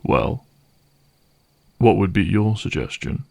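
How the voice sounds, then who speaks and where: A man playing a gruff, cynical vampire hunter for hire in a dramatic audio roleplay, speaking in a wry, sardonic and menacing way. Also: fairly low pitch, sing-song, takes it slowly, a little mellow, exaggerated voice. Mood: sardonic, dismissive. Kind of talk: dramatic audio roleplay monologue addressed to listener.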